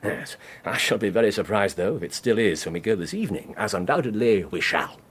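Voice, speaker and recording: An actor playing a brilliant, excitable English gentleman detective in a radio drama, self-assured and theatrical, drawing out phrases with murmured hums. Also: on the low side, fairly quick, breathy edge. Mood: confident, expository.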